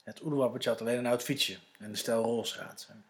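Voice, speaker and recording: A man's voice: mid-range voice, fairly even intonation, brisk. A man with a Netherlands Dutch accent, narrating a scripted story for children.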